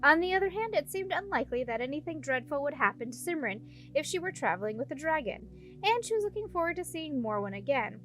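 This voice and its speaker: A woman's voice: sits high, lively intonation, quick pace, a bit bright, soft background music. A woman reading scripted storybook narration as an audiobook reader.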